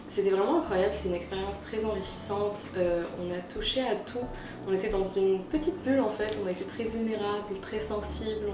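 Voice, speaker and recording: A young woman of Algerian origin, talking earnestly about her experience in a casual interview and pausing often with "euh". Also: mid-range voice, roomy sound, far from the mic, noisy background. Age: young adult. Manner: earnest, with frequent "euh" pauses and restarts. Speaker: student interviewee.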